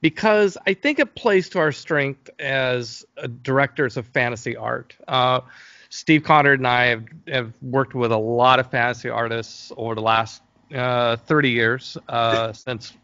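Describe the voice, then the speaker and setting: Halting middle-aged man in muffled, dry audio. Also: mid-range voice, sharp tone, no echo. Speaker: interview guest, fantasy film producer. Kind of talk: casual interview conversation.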